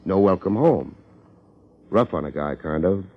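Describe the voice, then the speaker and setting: Deep-voiced wisecracking American private eye. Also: male voice, unhurried. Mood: sardonic, pressing. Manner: deadpan, sardonic wisecracking. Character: wry, wisecracking hard-boiled New York private detective. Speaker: detective and narrator. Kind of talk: 1950s radio detective acting, wry narration. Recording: dull, band-limited 1950s radio recording.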